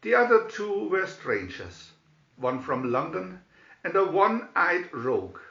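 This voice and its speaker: A man narrating a storybook in a dramatic, theatrical and deliberate way. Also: high voice, big pitch swings, creaky, fairly bright tone, roomy sound, dull audio.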